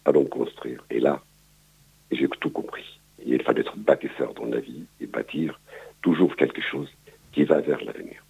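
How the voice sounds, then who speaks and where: Very deep gravelly older man. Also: normal speed, darkish tone. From Palestine. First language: Arabic. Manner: hesitant, many fillers and repeated words. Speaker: guest. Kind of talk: radio interview, life story. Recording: dull radio broadcast sound.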